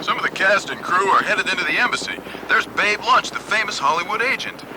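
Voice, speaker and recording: A man's voice: high voice, animated, fairly quick. A man playing an earnest live news correspondent reporting from a siege in a satirical radio play. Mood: urgent, reporting. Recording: loud helicopter-like noise behind.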